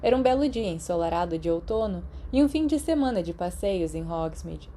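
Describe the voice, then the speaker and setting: A woman's voice: lowish voice, expressive, fairly quick, mellow. A woman with a Brazilian accent reading a scripted story as an audiobook narrator. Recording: clearly noisy background.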